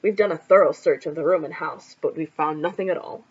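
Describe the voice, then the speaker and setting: A woman voicing a serious male police officer, speaking low and formally. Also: a little fast, husky. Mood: grave. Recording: lo-fi recording.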